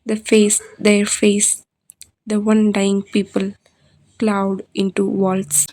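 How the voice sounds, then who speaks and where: Even-toned woman narrating horror. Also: medium pitch, slow. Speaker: horror podcast storyteller. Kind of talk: scripted horror story narration.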